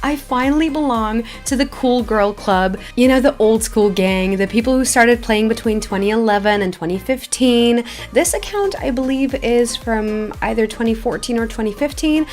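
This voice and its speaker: A woman giving casual, chatty and expressive gameplay commentary as a video creator. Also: a little high, moderate pace, hardly any pauses. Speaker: video game YouTuber. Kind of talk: casual gameplay commentary, reading quest dialogue aloud. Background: background music.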